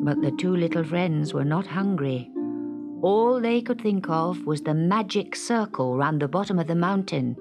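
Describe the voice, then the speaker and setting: A British woman narrating a children's fantasy story in a deliberate, dramatic manner. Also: lowish voice.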